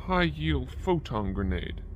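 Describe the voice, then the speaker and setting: A man's voice: fairly high pitch, sing-song, measured pace, muffled recording, slight background noise. A man playing a dutiful, literal-minded alien zealot soldier in an amateur audio drama, speaking formally. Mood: dutiful certainty.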